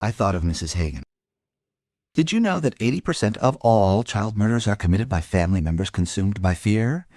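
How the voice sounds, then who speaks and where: Low, husky brooding investigator. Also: male voice, animated, fairly quick, darkish tone, dry recording, studio quality. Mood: reflective. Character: brooding, persistent male crime investigator narrating his own case. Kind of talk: crime audio drama, first-person narration and dialogue.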